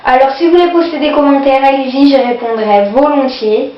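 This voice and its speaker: A woman's voice: high voice, steady tone, fairly quick. A woman with a cold and a runny nose, chatting casually through a haul of her sale purchases, hesitant and full of fillers. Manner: hesitant, many fillers, keeps saying "voilà". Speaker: vlogger showing sale purchases. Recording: echoey, distant, dull lo-fi home video.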